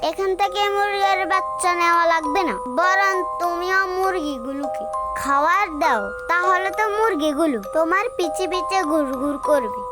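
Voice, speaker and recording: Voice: extremely high pitch, moderate pace. A performer voicing an eager, headstrong young boy in a kids' cartoon, childlike and insistent. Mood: matter-of-fact. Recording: music behind the voice.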